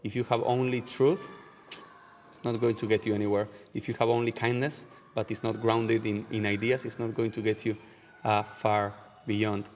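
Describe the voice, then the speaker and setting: A man from Argentina with an Argentine Spanish accent, speaking English as a later language. He is a rabbi answering interview questions in a measured way, slowing down to stress key ideas. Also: on the low side, level tone, long reverb tail, slight background noise. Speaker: rabbi, guest.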